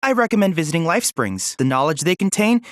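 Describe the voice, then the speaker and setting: Eager, lively android boy. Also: a little high, fast talker, no echo, clean studio sound. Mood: composed, helpful. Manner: formal, precise, earnest. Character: polite, eager, bookish android boy research assistant. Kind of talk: scripted video game dialogue.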